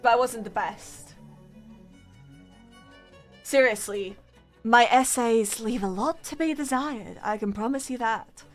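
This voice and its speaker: Young Polish-native woman streamer, slow laughing anecdote, faint music behind. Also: fairly high pitch. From Poland. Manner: giggly, self-mocking, chatty. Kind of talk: casual storytelling to chat.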